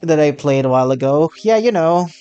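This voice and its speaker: A man giving casual commentary on a game playthrough as channel host, halting and often giggly. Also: on the high side, expressive, dark tone, smooth, highs cut off, faint music behind.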